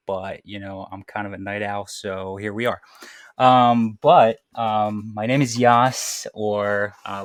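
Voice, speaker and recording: Lower-voiced young man, casual podcast guest. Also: dry recording, studio quality. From born in Morocco, raised in US from age eight. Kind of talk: casual chat.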